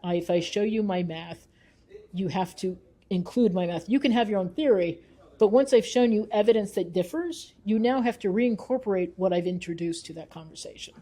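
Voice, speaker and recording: A woman's voice: medium pitch, moderate pace, dark tone. A woman being interviewed as a researcher, talking conversationally and emphatically, often acting out little exchanges as she explains.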